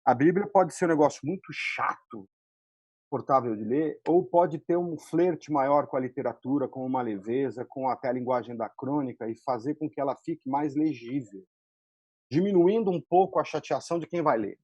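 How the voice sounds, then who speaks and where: A man's voice: medium pitch, normal speed, darkish tone, husky, no room sound, dull audio. A man with a Brazilian accent talking colloquially as a screenwriter on a panel. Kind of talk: casual, colloquial panel talk.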